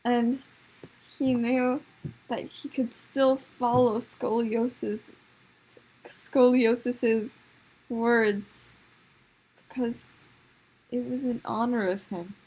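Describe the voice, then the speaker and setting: A woman's voice: fairly high pitch, steady tone, very slow, pauses often, darkish tone, slightly rough, low-quality recording. A woman improvising comic stories as a storyteller, with a dramatic, sometimes hesitant delivery. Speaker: storyteller of improvised puppet shows.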